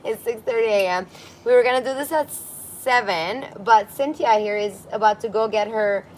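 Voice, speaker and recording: A woman's voice: on the high side, normal speed. A woman hosting a casual livestream on her balcony, chatty and giggly, reading comments aloud. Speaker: livestream host. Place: apartment balcony by a busy street. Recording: outdoor street noise. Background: street traffic.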